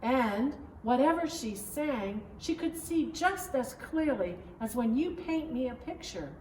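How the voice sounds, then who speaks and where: A woman voicing a gentle, playful father telling a story to his daughter, as a picture-book read-aloud. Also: sits high, lively intonation, a little slow. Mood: playful, patient. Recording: roomy, distant mic, faint background noise.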